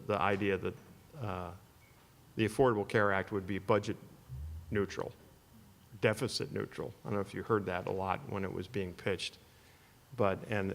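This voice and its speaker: A man's voice: on the low side, creaky, big-room sound, a little hiss or hum. A man giving a conversational conference talk, full of restarts and asides. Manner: conversational, hesitant with many restarts. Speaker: panel speaker. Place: conference hall.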